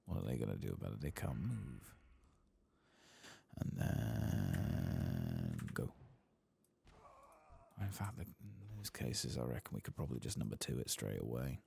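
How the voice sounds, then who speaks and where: A man giving soft-spoken ASMR commentary over video game play, hushed and at times slipping into a whisper close to the mic. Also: rumbling low, big pitch swings, fast, creaky, hardly any pauses, slightly rough. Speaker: host of a gameplay channel.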